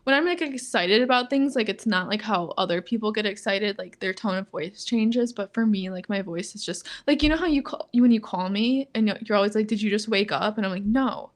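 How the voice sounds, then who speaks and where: A young woman in her twenties co-hosting a podcast in casual chat, talking in a flat monotone and filling nearly every phrase with "like". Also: fairly quick, hardly any pauses. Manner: says "like" constantly, flat and low-key, laughs often. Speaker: podcast co-host.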